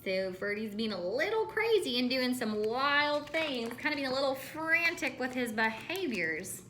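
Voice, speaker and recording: A woman reading a storybook aloud to children as a teacher, expressive and pausing to ask questions. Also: sits high, slight background noise.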